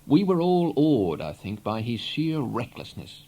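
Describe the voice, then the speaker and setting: A man narrates literary fiction with a mellow, rounded tone. His pitch sits in a middle male register. He tells the story in a measured, expressive way, as an audiobook reader does. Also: low-quality recording. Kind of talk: literary narration, read aloud.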